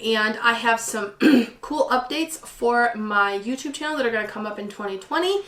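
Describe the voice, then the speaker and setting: A hoarse woman with a slightly high voice talks casually to camera about her photography channel. She is chatty and excitable, clearing her throat now and then. The sound is roomy, as if she is recorded in an echoey living room. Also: normal speed. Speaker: photography vlogger. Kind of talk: casual vlog talk to camera. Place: living room at home by a patio door.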